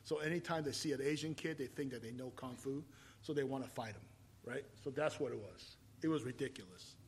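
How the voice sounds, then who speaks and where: A man in his fifties, from Taiwan, preaching a sermon and telling his own story, rising to loud emphatic points and falling back to quiet, reflective storytelling. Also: medium pitch, brisk, a little hiss or hum. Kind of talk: sermon with personal testimony.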